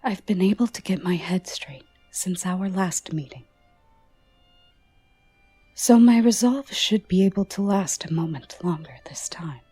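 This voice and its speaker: A woman performs an alluring, troubled gothic lady in an ASMR roleplay. Her voice is breathy and soft, often sinking to a whisper, and she speaks very slowly in a lowish register. Her manner is formal, tender and teasing, yet guarded. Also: lively intonation, no echo, soft background music. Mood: fond, inviting. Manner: slow, formal, teasing endearments.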